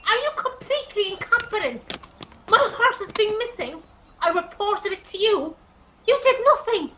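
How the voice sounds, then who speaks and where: Woman acting a high-pitched, indignant Irish horse owner. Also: animated, moderate pace, bright, forceful tone, heavily acted. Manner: scolding, demanding. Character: indignant, sharp-tongued woman, owner of a stolen racehorse. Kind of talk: amateur radio play acting. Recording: roomy, distant mic with hiss.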